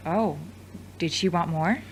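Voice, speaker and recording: A woman's voice: fairly low pitch, slow pace, slight background noise, poor audio. A woman playing a frank, supportive ex-girlfriend in a conversational audio drama. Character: frank, teasing, supportive ex-girlfriend and studio manager.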